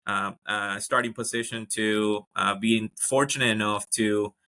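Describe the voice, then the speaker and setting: Guy, lowish but bright punchy voice, lots of ums and restarts. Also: fairly even intonation, a little slow. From Ecuador. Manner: many fillers and restarts, upbeat storytelling. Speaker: guest, HR executive. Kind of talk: casual interview talk.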